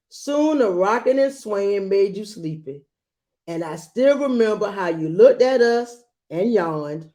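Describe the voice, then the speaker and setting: A woman reading a children's picture book aloud expressively and gently, adding small asides as she goes. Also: lowish voice. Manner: gentle, unhurried, adding commentary to listeners.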